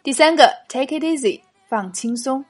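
Woman host, higher-pitched, clear upbeat teacher delivery, faint music underneath. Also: animated, moderate pace. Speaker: English teacher and show host. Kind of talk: scripted lesson narration. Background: faint background music.

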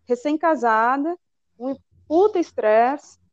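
A young adult Brazilian woman talking in a casual, expressive and emphatic way about personal things. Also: high voice, slow, no echo, lo-fi sound.

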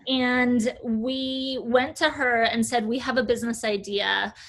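A woman in her forties, an agency founder, telling her career story in a relaxed interview with frequent "uh"s. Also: on the high side, unhurried, low-quality recording. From United States. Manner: chatty, fluent storyteller with filler "uh"s. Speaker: agency CEO, guest. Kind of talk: casual interview talk.

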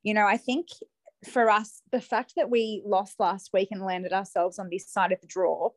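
A young woman talks sport on a podcast, chatty and quick to laugh. The recording is dry and close, with no room around her voice. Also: medium pitch, steady tone, no echo. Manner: giggly, chatty, relieved. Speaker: podcast guest, sports fan. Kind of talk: casual chat.

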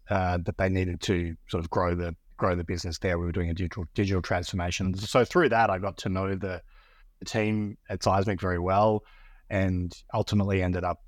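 Low-voiced Australian man, repeating himself. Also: no room sound, clean studio sound. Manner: repeats words while finding phrasing. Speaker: podcast guest, business executive. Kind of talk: casual interview talk. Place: Austin, Texas (based).

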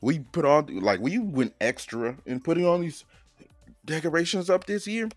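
A man's voice: on the high side, lively intonation, moderate pace, dry recording, studio quality, faint music behind. A young man co-hosting a podcast in casual chat, playful and jokey, sometimes breaking into silly sing-song. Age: young adult. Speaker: podcast co-host.

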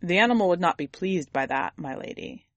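A woman's voice: lowish voice, animated, fairly quick, a bit bright, dry recording, voice pushed down. A woman voicing a loyal, prudent male royal counselor in a fantasy story, formal, courtly and emphatic. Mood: cautious, deferential.

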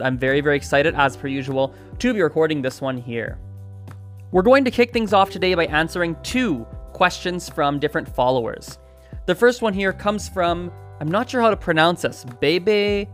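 A man's voice: on the high side, fairly bright tone. A man hosting a solo podcast, talking in an upbeat, chatty and rambling way with restarts. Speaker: podcast host. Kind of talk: solo podcast advice talk.

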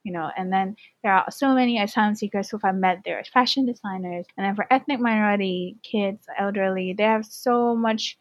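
A woman's voice: medium pitch, fairly quick, hardly any pauses, dry recording. Earnest woman, dry sound. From Hong Kong. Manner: earnest, many fillers like "you know" and "like". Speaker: guest, community farm organizer. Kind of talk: casual interview conversation.

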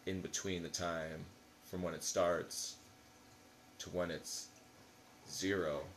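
A man's voice: deep voice, little pitch movement, slow pace, a bit bright, a little hiss or hum. A man in his late twenties chatting casually as a podcast guest, rambling along in slangy, profane language. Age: late 20s. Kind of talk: casual chat.

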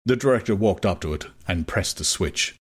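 A man narrating a dramatized audiobook in a measured, literary manner. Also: sits low, animated, slightly rough.

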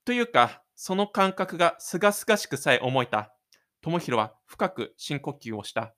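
Male narrator for audio drama, slightly high register, dramatic scripted storytelling. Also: very animated, a little slow, sharp tone.

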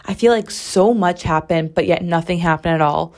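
Hoarse woman rambling on her podcast. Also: fairly low pitch, moderate pace, a little mellow. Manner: rambling, emphatic, swears freely. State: hoarse, strained voice. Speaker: podcast host. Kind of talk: casual solo podcast chat. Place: bathroom at home.

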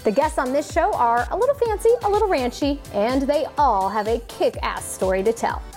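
A woman's voice: high-pitched, expressive, moderate pace, darkish tone, soft background music. A woman hosting a podcast, introducing the show and interviewing a guest with bubbly enthusiasm. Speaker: podcast host. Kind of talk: scripted intro then casual interview.

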